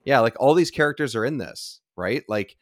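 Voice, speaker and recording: A man's voice: mid-range voice, expressive, normal speed, no room sound, clean studio sound. A man chatting casually on a podcast in a rambling, emphatic way, often repeating himself.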